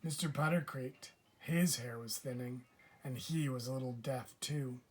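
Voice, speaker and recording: A man reading a children's picture book aloud as a teacher would, with measured, deliberate phrasing. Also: mid-range voice, slight background noise. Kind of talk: children's storybook read-aloud.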